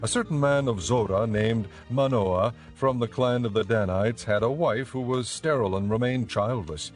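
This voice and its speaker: A man giving scripted, measured and solemn narration for a dramatized audio Bible. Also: medium pitch. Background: background music.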